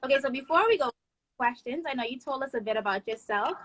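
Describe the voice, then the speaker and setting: A woman's voice: high voice, animated, quick pace, a bit bright. A young woman hosting a live chat, upbeat as she reads sponsor plugs and puts questions to her guest over a phone livestream. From Bahamas. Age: 20s. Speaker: host. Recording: phone livestream with faint noise.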